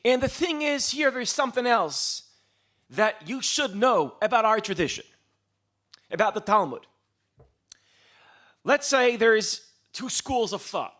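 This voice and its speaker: A man from Israel giving a live lecture as a storyteller, posing rhetorical questions and pausing for dramatic emphasis. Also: extremely high pitch, animated, sharp tone. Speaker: public speaker, lecturer.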